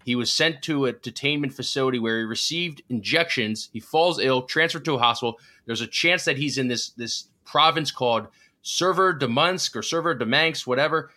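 Male host, bright voice, relaxed and rambling. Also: medium pitch, brisk. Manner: laid-back, rambling storytelling. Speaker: show host. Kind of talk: casual sports talk.

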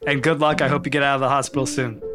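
A man's voice: mid-range voice, little pitch movement, racing, loud music behind the voice. A man hosting a podcast, friendly and conversational while interviewing guests. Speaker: podcast host. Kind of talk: interview and narration.

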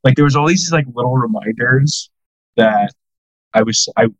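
A man's voice: medium pitch, normal speed, no echo, low-quality recording. A man in his thirties from the United States, a podcast guest telling self-deprecating stories in casual chat, full of "like" fillers.

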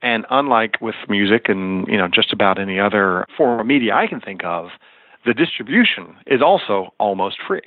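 A man's voice: lowish voice, animated, moderate pace, no room sound. A man explaining things as an expert interview guest, heard over a thin, phone-like connection. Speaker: guest, music and podcast lawyer.

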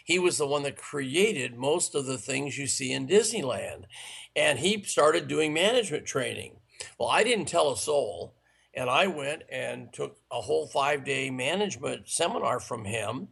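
A man with a raspy, worn voice from long speaking, earnestly giving sales and management advice in an interview. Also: mid-range voice, lively intonation, normal speed, fairly bright tone. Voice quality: gravelly. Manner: earnest, instructive, listing points. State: raspy, tired voice. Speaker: sales trainer guest.

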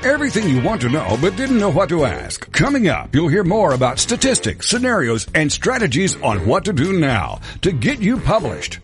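Man, highish pitch, hugely animated delivery, scripted show announcer, music behind. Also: moderate pace, hardly any pauses. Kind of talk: scripted podcast intro announcement.

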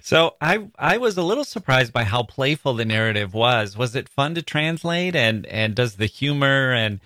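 A man hosting a casual interview, asking curious, measured questions. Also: mid-range voice, big pitch swings, bright, forceful tone, dry recording, clean studio sound. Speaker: podcast host.

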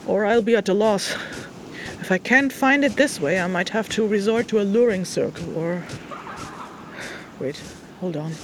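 A woman's voice: mid-range voice, normal speed, lightly acted. A woman plays a young spirit hunter in an audio drama field log, over faint ambient noise. The delivery is hesitant and musing. There is a wry, teasing streak. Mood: musing, uncertain. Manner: hesitant, thinking aloud, dry teasing.

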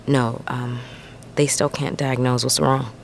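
A woman's voice: rumbling low, little pitch movement, a little slow, creaky, crowd noise, full character voice. A woman playing a determined but worried long-haul trucker in an audio drama, hesitant yet blunt. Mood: hesitant, subdued. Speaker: actor in an audio fiction drama.

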